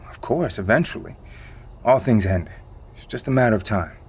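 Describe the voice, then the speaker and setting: Actor as male insider, lowish pitch, calm, evasive, expository, matter-of-fact, audio drama delivery. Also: normal speed, breathy edge. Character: evasive male insider calmly briefing on a secret catastrophe.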